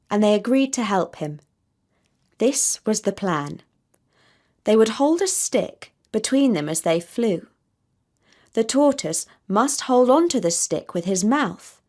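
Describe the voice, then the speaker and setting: Slow, higher-voiced woman storyteller. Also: a little mellow. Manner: measured, deliberate phrasing. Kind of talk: scripted children's story narration.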